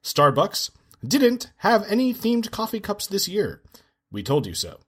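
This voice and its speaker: A man's voice: high voice, huge pitch swings, measured pace, fairly bright tone, slightly rough, full character voice. A man playing a pompous, alarmist cable news anchor in a parody bulletin for radio drama. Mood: grave, smug. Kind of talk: parody breaking-news delivery.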